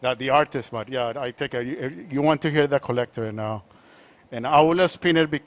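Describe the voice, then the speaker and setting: A man from Colombia, an art collector speaking on a panel, talking in a halting way with many repeated words and restarts. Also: mid-range voice. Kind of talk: panel conversation.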